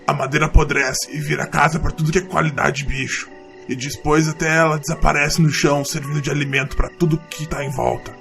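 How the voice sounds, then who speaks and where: Very gravelly rustic Brazilian troglodyte. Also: male voice, fairly high pitch, a bit bright. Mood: solemn, wise. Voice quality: gravelly, creaky. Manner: plain, ungrammatical rustic speech. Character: rustic male forest troglodyte, gruff but fond mentor. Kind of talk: audio drama character acting.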